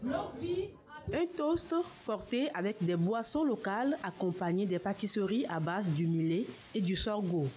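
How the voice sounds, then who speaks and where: A woman's voice: mid-range voice, darkish tone, a little hiss or hum. A woman reading a scripted TV news report.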